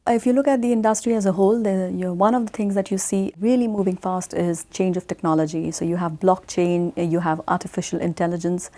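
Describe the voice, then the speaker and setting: A middle-aged woman from India answering interview questions in a measured way, often pausing with fillers. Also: fairly low pitch, steady tone, dark tone. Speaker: guest.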